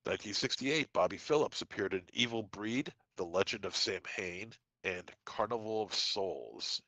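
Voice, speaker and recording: A man's voice: on the low side, animated, sharp tone, dry recording, low-quality recording. Congested man reading horror trivia. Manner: lists dates and titles with quick asides. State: congested from a cold. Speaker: podcast co-host. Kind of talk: casual podcast segment reading trivia.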